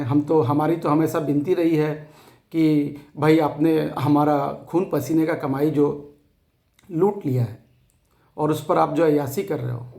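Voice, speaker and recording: A man with a hoarse, scratchy throat giving an impassioned, defiant address to fellow depositors, repeating phrases for emphasis. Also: on the high side, roomy sound. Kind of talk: impassioned rallying address to followers.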